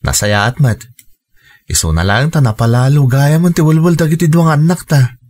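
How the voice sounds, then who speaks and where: A man's voice: fairly high pitch, moderate pace, darkish tone, natural voice. A man playing a patient, devoted young husband and father in a radio drama, earnest and reassuring. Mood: easygoing, earnest. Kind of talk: Ilocano radio drama acting.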